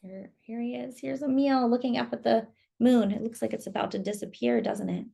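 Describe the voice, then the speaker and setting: A woman storyteller reading a picture book aloud to children, pausing to ask listeners questions and comment on the pictures. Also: fairly high pitch, steady tone, gravelly, mellow, slightly rough.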